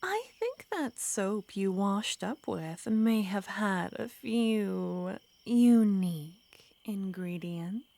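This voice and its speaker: Brief: a woman as a sweetly menacing young witch, breathy close whispers, very slow delivery. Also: mid-range voice, animated, mellow, no room sound. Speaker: ASMR roleplay performer. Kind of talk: ASMR roleplay.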